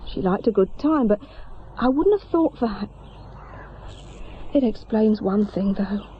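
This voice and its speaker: A woman's voice: medium pitch, expressive, a little slow, natural voice. A woman plays a chatty British shopkeeper in a radio drama. She is confiding and sympathetic, with a mid-range voice. The recording is a little muffled, with faint outdoor noise. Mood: confiding, gossipy. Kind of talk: naturalistic radio drama acting.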